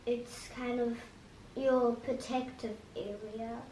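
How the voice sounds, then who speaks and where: High, even-voiced young girl, echoey. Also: slow pace. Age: child. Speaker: interviewee. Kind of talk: simple casual answers. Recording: echoey, distant mic, background noise.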